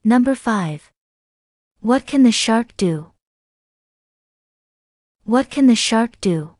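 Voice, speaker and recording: A woman narrating an English listening test, reading each item slowly and deliberately twice. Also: fairly high pitch, lively intonation, a little mellow, no echo. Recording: dry, close studio sound.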